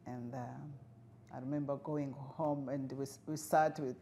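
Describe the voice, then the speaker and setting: Casting: middle-aged woman, grew up in Rwanda, native Kinyarwanda speaker, low mellow voice, reflective and earnest, light noise behind. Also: fairly even intonation. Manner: earnest, reflective, pauses with fillers. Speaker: guest, refugee integration expert. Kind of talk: interview conversation.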